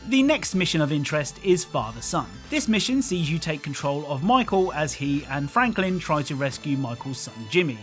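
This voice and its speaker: A man's voice: on the high side, very animated, moderate pace, few pauses. A man reading scripted video game commentary in a dryly humorous way. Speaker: video narrator. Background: music.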